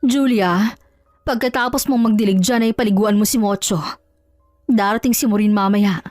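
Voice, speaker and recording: A woman's voice: medium pitch, steady tone, normal speed, darkish tone, dry recording, studio quality, soft background music, natural voice. A woman voicing a haughty, scornful middle-aged mother, bossy and sneering, in a dramatized love story.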